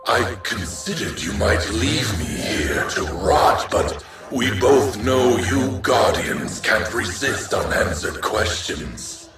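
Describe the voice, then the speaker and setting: A man voicing a sinister, sadistic revived villain in a video game, slow and theatrical, savoring his words in a grandiose way. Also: fairly low pitch, very animated. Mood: gloating menace. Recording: echoing, distant, with loud music.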